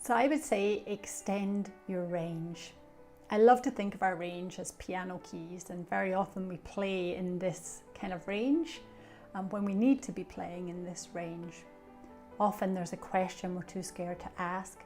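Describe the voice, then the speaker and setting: Scottish woman, low voice, faint music. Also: steady tone, a little slow, a little mellow. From Scotland. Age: middle-aged. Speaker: coach, association treasurer. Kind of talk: self-introduction and coaching talk.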